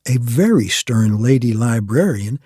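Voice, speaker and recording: A man narrating a scripted radio play in a measured storytelling manner. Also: medium pitch, expressive, warm tone, slightly rough, no echo, studio quality.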